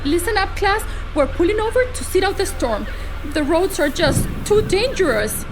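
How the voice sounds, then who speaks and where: A woman's voice: very high-pitched, sing-song, moderate pace, music in the background, full character voice. An actress playing a suspicious, commanding woman schoolteacher in a children's horror audio drama. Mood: harried. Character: brisk, suspicious woman schoolteacher on a bus trip.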